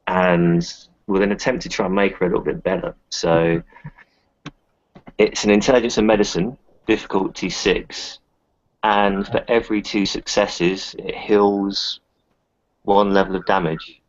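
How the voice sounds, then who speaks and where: Deep, gravelly man playing a tabletop game. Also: fairly even intonation, measured pace. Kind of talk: casual game play, describing actions and dice. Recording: dull lo-fi audio.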